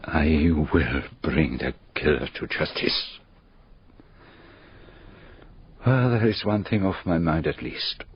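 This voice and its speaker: An actor playing a courteous, probing foreign detective in a radio drama, with formal foreign phrasing and deliberate questions. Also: male voice, a bass voice, big pitch swings, a little hiss or hum, exaggerated voice. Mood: solemn resolve. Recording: dull, muffled radio drama recording.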